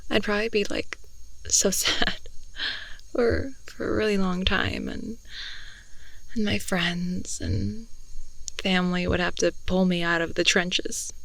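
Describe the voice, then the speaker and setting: Woman playing a creaky-voiced, slow-talking rambling girlfriend. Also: on the low side, steady tone, no room sound, exaggerated voice, low-quality recording. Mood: sad, loving. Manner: soft, rambling, hesitant, often slipping into whispers. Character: affectionate, rambling girlfriend, sentimental and playful. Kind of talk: intimate improvised ASMR girlfriend roleplay. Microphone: close binaural headphone-oriented microphone.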